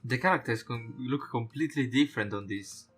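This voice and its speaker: A man gives casual let's-play commentary with game music faintly behind him. His pitch sits in an average range for a male voice, and he speaks informally, with the odd swear word. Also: a little fast, fairly bright tone, slightly rough. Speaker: gaming YouTuber, let's-play host. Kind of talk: casual let's-play commentary with on-screen text read aloud.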